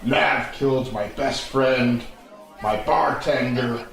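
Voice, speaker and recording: A man's voice: medium pitch, slow, vocal fry, fairly bright tone, some chatter behind. An older actor playing a bitter, grieving grandfather who heckles a politician, accusing and declamatory, in a very echoey audio drama. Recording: very echoey, reverberant space.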